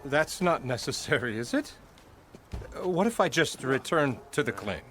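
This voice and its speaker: An actor playing a pompous, scheming mine boss in a video game cutscene, blustering and sneering. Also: male voice, mid-range voice, big pitch swings, a little slow, fairly bright tone, a little hiss or hum. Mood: evasive.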